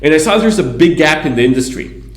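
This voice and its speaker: A man's voice: on the high side, expressive, quick pace. A man from Israel, a guest on an interview podcast, telling his story in a casual, rambling way with plenty of asides. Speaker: guest, PR agency founder. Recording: echoey room.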